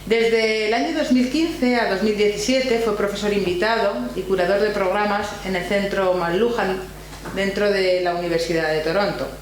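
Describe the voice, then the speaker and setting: A woman's voice: mid-range voice, fairly even intonation, normal speed. Seminar host: woman, accent from Spain, formal read-out introduction with some hesitation, recorded in a reverberant, humming room. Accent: Spanish from Spain. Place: university library room. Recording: very echoey room, faint hum and noise.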